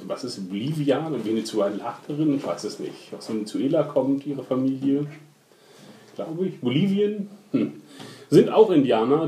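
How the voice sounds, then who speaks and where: A man's voice: medium pitch, mellow. A man recapping a TV episode in casual chat, wry and ironic, retelling scenes in mock quotes. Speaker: podcast co-host. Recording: echoey room with faint noise.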